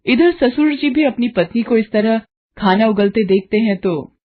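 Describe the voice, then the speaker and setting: A woman's voice: fairly high pitch, lively intonation, moderate pace. A woman narrating a scripted cartoon family story. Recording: dull lo-fi audio.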